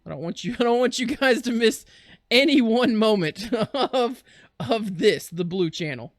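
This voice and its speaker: A man's voice: high-pitched, lively intonation, unhurried, slight background noise. A man hosting a reaction livestream with casual, jokey, rambling commentary that often interrupts itself. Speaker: livestream reaction show host.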